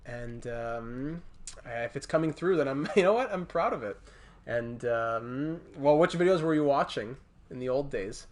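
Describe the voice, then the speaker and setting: Male game streamer, mid-range voice, Montreal accent, casual and halting, thinks out loud between replies to chat. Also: medium pitch, animated. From Montreal, Canada. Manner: thinking aloud, halting, breaking off to reply to chat. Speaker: livestreamer hosting a hockey video game stream.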